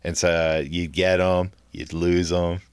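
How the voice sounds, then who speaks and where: A man with a very low, smooth and resonant voice, recorded close and dry. He talks in an easygoing, storytelling way, full of casual slang, and he comes from Southern California. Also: flat delivery, unhurried, no echo. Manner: laid-back, storytelling with slang. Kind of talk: casual chat.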